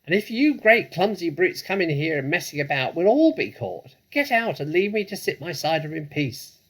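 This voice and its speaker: A man's voice: sing-song, moderate pace, a bit bright, rough recording. A man voicing a bossy, indignant rat in a shrieking, scolding storybook voice. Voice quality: shrieking, high-pitched. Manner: scolding, territorial. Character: shrill, bossy, indignant male cellar rat.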